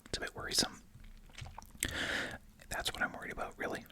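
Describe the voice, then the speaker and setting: An ASMR host speaking in a breathy, unvoiced whisper, reading aloud and musing casually. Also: a little fast, sharp tone.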